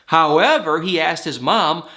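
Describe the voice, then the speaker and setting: Casual male TV recapper, fairly high and bright, with a head cold, chatty and opinionated. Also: unhurried. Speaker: TV recap host.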